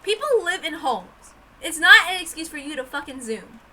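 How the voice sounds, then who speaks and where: A woman reading a visual novel aloud in an expressive, informal way, dramatic in narration and casual in asides. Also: high-pitched, normal speed.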